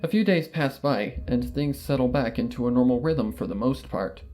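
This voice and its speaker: A man with a mid-range voice reads a story aloud in the first person, steady and measured. Faint music runs underneath. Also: medium pitch. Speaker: story narrator.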